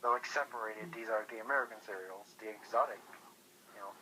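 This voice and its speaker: A man chatting casually over the phone, halting and repeating words as he talks. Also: fairly low pitch, pushed and bright. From Europe. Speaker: featured phone guest. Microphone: telephone. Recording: noisy phone call.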